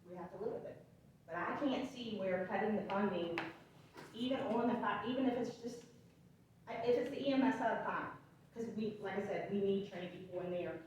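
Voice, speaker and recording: Earnest woman pleading in an echoey hall. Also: mid-range voice. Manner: earnest, defensive, halting. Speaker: volunteer fire department member speaking at public comment. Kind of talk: public comment plea. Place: large echoey meeting room. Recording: echoey room, distant mic, faint noise.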